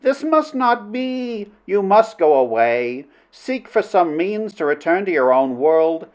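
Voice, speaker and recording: A man's voice: high-pitched, very animated, slow, darkish tone, voice pushed up, heavily acted. A man voicing a proud princess in an old adventure romance audiobook, melodramatic and formal in speech. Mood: enraptured, pleading. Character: proud commanding princess, stern then helplessly yielding to love.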